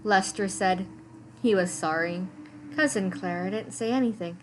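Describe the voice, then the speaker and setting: A woman narrates a children's picture book at a mid-range pitch. She reads in an animated storytime style, leaning on the descriptive words for drama. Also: normal speed, a little hiss or hum, low-quality recording. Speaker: librarian reading storytime. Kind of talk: expressive picture-book read-aloud.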